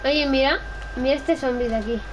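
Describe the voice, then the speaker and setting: A young, playful, excitable voice chatting casually during gameplay roleplay, often repeating phrases. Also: high voice, brisk, over a noisy crowd. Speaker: gamer in a roleplay video.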